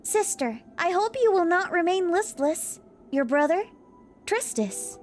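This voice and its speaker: A woman's voice: very high-pitched, very animated, unhurried, a little hiss or hum, full character voice. A woman voicing an earnest, proper young girl adventurer in rhyming, theatrical lines for a fantasy game fan dub. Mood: solemn recital. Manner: theatrical, rhyming storybook delivery.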